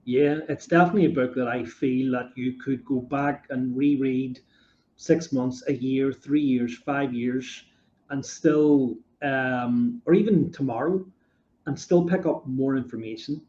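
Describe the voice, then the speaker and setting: A man hosts a podcast interview, his voice mellow and relaxed. He rambles with enthusiasm, piling up fillers as he builds toward his questions. The sound is dull and roomy, as if recorded in an echoey room. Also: medium pitch, unhurried. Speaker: podcast host.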